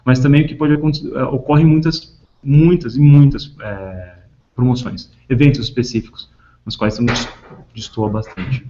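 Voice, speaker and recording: A man's voice: mid-range voice, fairly even intonation, moderate pace, warm tone. Brazilian man on a dull conference call. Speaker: panelist answering questions. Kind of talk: explanatory business talk on a conference call.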